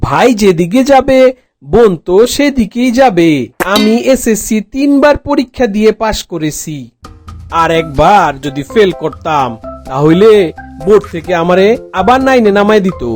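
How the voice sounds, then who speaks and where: A man's voice: high voice, expressive, normal speed, faint music behind. Smug, teasing young Bangladeshi brother, acted by a man. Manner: smug, mock-consoling. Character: teasing, carefree slacker brother, young male. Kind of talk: cartoon comedy acting.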